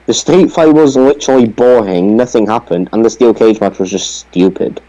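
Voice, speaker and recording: A man's voice: medium pitch, normal speed, mellow, muffled recording. A man on a podcast panel giving blunt, opinionated takes in casual sports chat.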